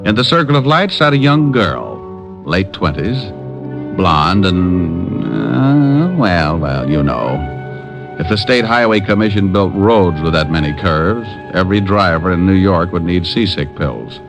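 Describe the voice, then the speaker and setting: Old radio private eye, low voice, swings all over, breezy and sarcastic. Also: male voice, sing-song, unhurried, over music, heavily acted. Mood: wry narration. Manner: teasing, sarcastic, playful. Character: wisecracking, breezy male private detective. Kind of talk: old-time radio drama acting and narration.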